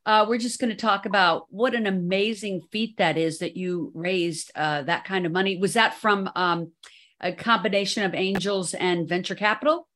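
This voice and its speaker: Chatty woman podcast host, low voice. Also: fairly bright tone. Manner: chatty, upbeat, tells anecdotes. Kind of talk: casual interview chat.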